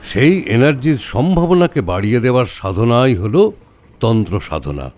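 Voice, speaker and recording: An actor playing a calm, learned tantra scholar in an audio story, explaining things in a measured, didactic way. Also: male voice, mid-range voice, natural voice. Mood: patient, explanatory. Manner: measured, didactic, uses rhetorical questions. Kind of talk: Bengali audio horror story acting.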